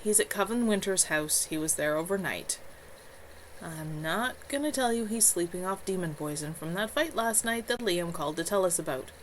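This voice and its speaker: Read-aloud novel: woman voicing a patient, soft-spoken man, firm and matter-of-fact. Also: fairly low pitch, normal speed, slight background noise, lightly acted, rough recording. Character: gentle patient male caretaker.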